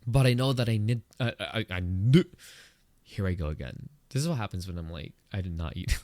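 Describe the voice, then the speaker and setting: A man with a Filipino accent hosting his own podcast, talking through a personal topic in an earnest, conversational way and jumping up in pitch for emphasis. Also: animated, brisk, darkish tone, dry recording, clean studio sound. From Philippines. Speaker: podcast host. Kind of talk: solo reflective podcast talk.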